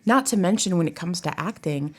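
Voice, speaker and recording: Low-pitched woman, chatty interview guest. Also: expressive, brisk. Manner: chatty, emphatic, peppers talk with "you know" and "like". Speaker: theater professor and director, interview guest. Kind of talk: casual interview conversation.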